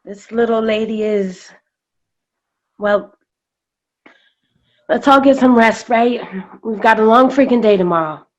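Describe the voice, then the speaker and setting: Over dull video-call sound, an actress plays a circus boss and lion tamer. Her voice sits a little high. She is blunt, sarcastic and at the end of her patience in this stage drama. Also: unhurried, long pauses, lightly acted. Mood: weary, resigned. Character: gruff, exasperated woman lion tamer running a circus. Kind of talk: staged reading of a play.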